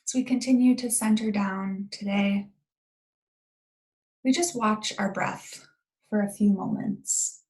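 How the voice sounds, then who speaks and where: A woman leading a guided meditation in a calm, gentle, unhurried way with long pauses and a creaky voice. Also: mid-range voice, low-quality recording.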